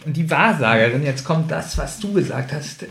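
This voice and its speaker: A man's voice: fairly high pitch, expressive, moderate pace, creaky, a bit bright, slight background noise. A man co-hosting a podcast in casual, conversational chat, chiming in with opinions and corrections on an audio drama. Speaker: podcast co-host.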